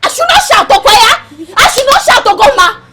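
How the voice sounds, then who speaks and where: A woman's voice: high voice, very animated, fast talker, a bit bright, a little hiss or hum. An actress playing a fiery, vengeful woman in a film drama, confrontational and taunting, with a Nigerian accent. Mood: furious. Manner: confrontational, taunting, repeats herself mockingly. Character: fiery, vengeful woman confronting her cousin's abuser, menacing. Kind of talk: Nollywood film drama acting.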